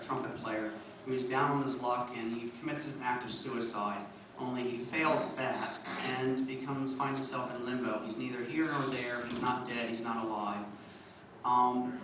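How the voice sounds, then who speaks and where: A man's voice: mid-range voice, steady tone, normal speed. Echoey, distant man giving a presentation. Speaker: presenter at a fan convention. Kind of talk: informal illustrated lecture. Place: large echoey presentation room. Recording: echoey room, distant muffled mic, faint noise.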